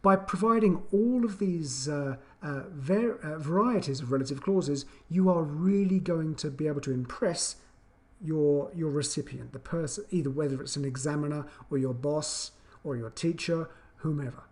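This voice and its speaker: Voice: medium pitch, moderate pace, warm tone. An English teacher giving an explanatory video lesson with an emphatic, questioning, teacherly delivery.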